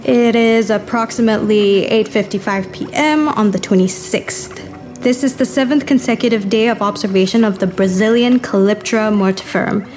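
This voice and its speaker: A woman's voice: mid-range voice, steady tone, normal speed, a little mellow, faint music behind, natural voice. An actress playing an eager, inquisitive young lab assistant in an audio drama, probing as she talks. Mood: clinical, unsettled. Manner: probing, pressing with questions. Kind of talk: full-cast audio drama acting.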